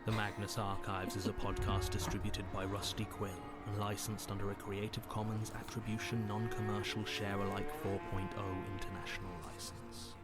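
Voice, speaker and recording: A man reads a scripted podcast announcement in a fairly low, very even voice. Loud music plays all through the clip. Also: normal speed, few pauses.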